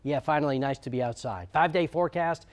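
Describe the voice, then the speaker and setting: A man's voice: a little high, fast talker. An American man presenting a conversational weather forecast on television as the station's forecaster.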